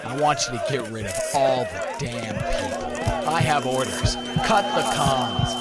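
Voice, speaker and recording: A man plays a menacing villain general in an audio drama. He drags his words out slowly with huge swings of pitch, theatrical and gloating. Loud music plays behind him. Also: very animated. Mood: cold, commanding.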